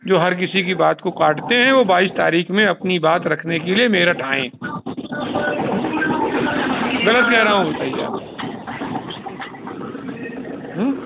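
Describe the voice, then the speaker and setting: A man's voice: sits high, lively intonation, unhurried, creaky, pauses often, background noise. A man speaking as a union leader on a phone call, explaining insistently and repeating himself. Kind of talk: informal phone discussion. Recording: tinny recorded phone call.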